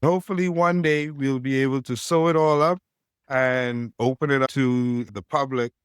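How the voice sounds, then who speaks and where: A man with a Caribbean accent, a fintech co-founder speaking as a podcast guest in a steady, businesslike way. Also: moderate pace, clear, resonant tone, no room sound, clean studio sound. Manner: steady, businesslike pitch with stacked phrases. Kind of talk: podcast interview.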